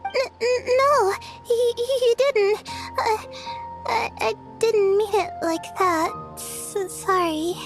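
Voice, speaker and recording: A woman's voice: very high-pitched, takes it slowly, over music, heavily acted. A woman voicing a shy, sleepy young female student in a game, hesitant and stammering. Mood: timid. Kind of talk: game voice acting.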